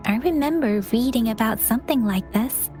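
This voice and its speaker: A woman voicing an earnest, perceptive young investigator in a video game, cautious and compassionate, with a softly breathy, measured delivery. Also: a little high, lightly acted. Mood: thoughtful, hushed. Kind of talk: scripted game dialogue acting. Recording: game music behind the voice.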